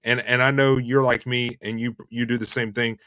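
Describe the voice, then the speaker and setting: A young man hosting a casual interview chat over a video call, relaxed and chatty, often repeating words and saying "man" and "dude". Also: mid-range voice, normal speed, no room sound, rough recording. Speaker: host and Elvis tribute artist.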